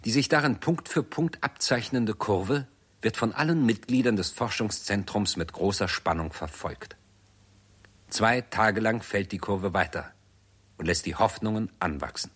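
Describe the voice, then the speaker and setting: An actor as the sober narrator of a 1960s science fiction radio play, husky and documentary in manner. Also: male voice, lowish voice, a little slow, fairly bright tone.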